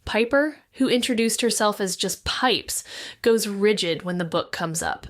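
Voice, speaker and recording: A woman playing a guarded, world-weary amateur sleuth in a noir audio drama, wry and deliberate as she speaks. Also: medium pitch, animated, dry recording, clean studio sound, close to an everyday voice. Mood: wry, brooding. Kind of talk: noir audio drama, first-person narration and dialogue.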